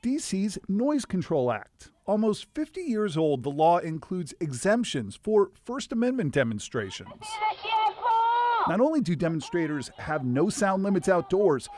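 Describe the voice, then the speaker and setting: A man's voice: high-pitched, a little mellow, a little hiss or hum. A man with an American accent narrating a scripted investigative news report.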